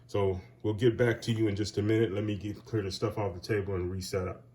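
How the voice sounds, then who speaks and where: A man's voice: lowish voice, flat delivery, fast talker, dark tone, a little hiss or hum. Older man, nasal with a cold. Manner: rambling, with many fillers and restarts, calls viewers "guys". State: has a summer cold. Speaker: airgun collector and channel host. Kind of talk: casual product talk to camera.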